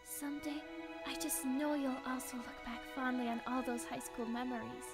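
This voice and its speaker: A woman playing a gentle young time traveller in an anime fan dub, patiently explaining and reassuring. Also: high-pitched, steady tone, moderate pace. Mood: wistful, warm. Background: background music.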